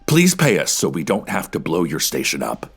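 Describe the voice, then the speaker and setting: A gravelly, low-pitched debt collector with a husky rasp, put on by a man, making mock-threatening remarks in a soft, sentimental way. Faint music plays underneath in this audio drama. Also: huge pitch swings, normal speed, heavily acted. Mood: menacing, weepy. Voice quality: raspy. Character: menacing raspy male creditor, weepy tough guy.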